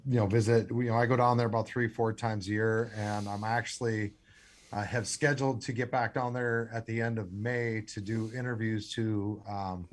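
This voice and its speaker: A man's voice: fairly low pitch, fairly even intonation, moderate pace. An American man in a radio interview, talking earnestly with many restarts and fillers. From United States. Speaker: radio guest, scholarship founder.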